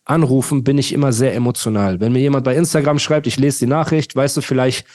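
A man's voice: medium pitch, steady tone, quick pace, darkish tone. A young man co-hosting a podcast, ranting heatedly and trading insults while talking over his partner. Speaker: podcast co-host. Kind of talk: casual podcast chat and rant.

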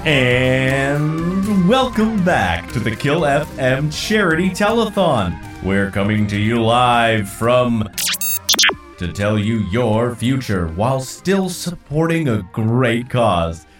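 Telethon host, male actor: slow, showman delivery, widely swinging pitch, smarmy, music underneath. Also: expressive. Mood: showy, upbeat. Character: showy male telethon host on a radio broadcast. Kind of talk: theatrical broadcast presenting.

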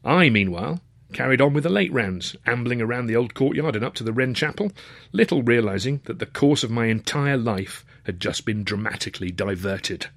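A man with an English accent reading a first-person story in a wry, dryly humorous way, slightly husky. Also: mid-range voice, animated, fairly quick, fairly bright tone, slight background noise. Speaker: author reading his own novel.

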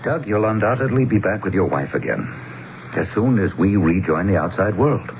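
Very deep-voiced, grave trapped man. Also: very animated, moderate pace. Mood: grave, expectant. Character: grave man trapped behind an invisible curtain. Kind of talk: radio drama acting. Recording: muffled, noisy old radio broadcast.

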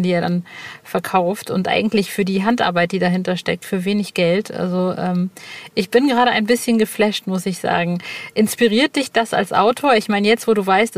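A woman's voice: mid-range voice, dry recording. A woman hosting a podcast in a casual, slangy, enthusiastic way, rambling and breaking off sentences as she goes. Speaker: podcast host. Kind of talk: casual, chatty podcast talk.

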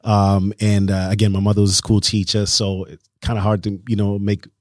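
Low-voiced Kenyan-born man telling his story. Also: monotone, a little fast, a little mellow, no echo, studio quality. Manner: laid-back storytelling with frequent "you know". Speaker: DJ guest. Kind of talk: casual interview.

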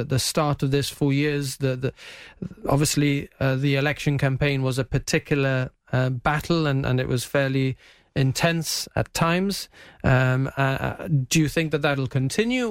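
A man's voice: mid-range voice, smooth, dry recording, studio quality. A man hosting a live current affairs interview, feeling his way through questions with frequent rephrasing. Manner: hesitant, rephrasing questions as he goes. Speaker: radio show host and interviewer.

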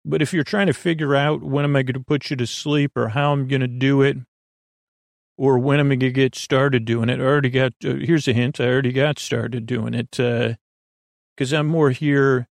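Creaky voiced guy doing a sleep podcast, wanders around, trips over his own sentences, super dry sound. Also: medium pitch, fairly even intonation, dry recording. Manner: meandering, halting, self-interrupting, repetitive. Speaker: podcast host. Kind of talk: rambling bedtime podcast monologue.

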